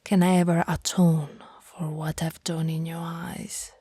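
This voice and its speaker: Woman playing a slow, whispery, lovestruck vampire lady. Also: deep voice, little pitch movement, dry recording, studio quality. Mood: remorseful, tender. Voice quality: soft, breathy. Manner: formal archaic phrasing, tender, hesitant, often whispering. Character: centuries-old female vampire, lovestruck, remorseful, hungry, formal. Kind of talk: ASMR roleplay, slow intimate monologue.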